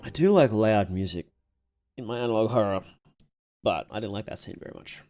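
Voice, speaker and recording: A man's voice: on the low side, a little fast, a little mellow, faint music behind. A man hosting a livestream, giving casual reaction commentary in a slangy, jokey, blunt way. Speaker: livestream host.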